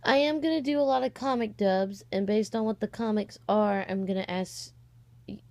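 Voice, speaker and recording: A woman's voice: medium pitch, moderate pace, darkish tone. A sixteen-year-old girl giving a casual, rambling, hesitant announcement in an informal vlog style, on a cheap microphone. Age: 16, teenager. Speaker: amateur voice-acting channel creator. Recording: cheap, slightly distorted microphone.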